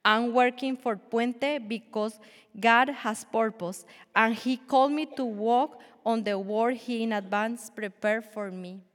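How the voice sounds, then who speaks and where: A Colombian-accented woman in her forties, a native Spanish speaker, gives an earnest, measured testimony in church and stumbles now and then. Her voice sits fairly high. It rings with a long reverb, as if from a large hall. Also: fairly even intonation. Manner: earnest, measured, with small restarts. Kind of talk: prepared personal testimony.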